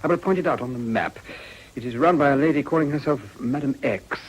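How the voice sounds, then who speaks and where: Insinuating, highly animated gentleman crusader. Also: slightly rough, low-quality recording. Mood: businesslike. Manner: formal, measured, insinuating. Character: smooth, insinuating, ambitious moral crusader gentleman. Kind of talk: period radio drama acting.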